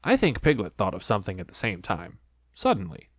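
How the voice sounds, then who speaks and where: A reader voicing a slow, mild little bear in a storybook, musing as he talks. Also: low-pitched, huge pitch swings, no room sound, studio quality, exaggerated voice. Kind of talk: storybook reading.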